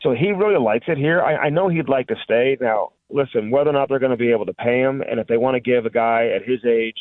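A man's voice: mid-range voice, fast, no room sound, narrow, tinny audio. A man giving conversational, off-the-cuff sports analysis as a reporter guesting on a radio show. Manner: conversational, frequent "you know" and "listen".

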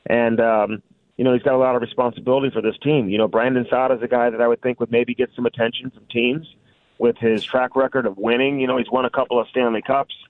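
A man's voice: medium pitch, little pitch movement, quick pace, no echo. Man, dull lo-fi sports radio guest. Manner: conversational, frequent "you know" and "listen". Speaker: hockey reporter and radio guest. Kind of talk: off-the-cuff sports analysis in a radio interview.

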